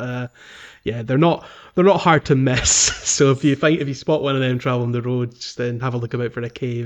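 A man's voice: medium pitch, muffled recording. A man co-hosting a casual video game podcast, explaining things in a hesitant, stop-and-start way. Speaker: podcast co-host.

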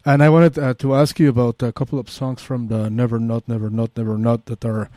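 Mexican-accented male host, mellow voice. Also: medium pitch, flat delivery, no room sound, studio quality. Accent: Mexican Spanish. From Mexico City. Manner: halting, many uhs, repeats "excellent". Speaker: radio show host. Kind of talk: interview.

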